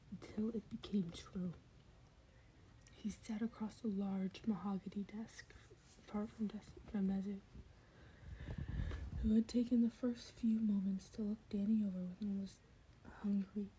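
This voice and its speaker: Very mellow, breathy woman narrating fiction. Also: medium pitch, slight background noise, poor audio. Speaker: podfic narrator. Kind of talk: amateur scripted fiction narration.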